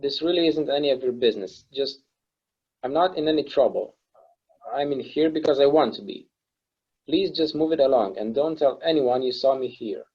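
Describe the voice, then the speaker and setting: A man's voice: mid-range voice, normal speed, slightly rough, muffled recording, natural voice. A man reading the part of an irritable, secretive recluse in a stage play table read, speaking in a curt, defensive, grudging way. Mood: anxious, insistent. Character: guarded, irritable male recluse, thousands of years in hiding.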